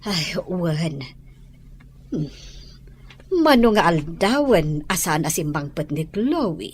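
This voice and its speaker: A woman's voice: fairly low pitch, very animated, slow, a little hiss or hum. A woman playing a kindly, weary lady of the house in an Ilocano audio drama. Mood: soothed, affectionate.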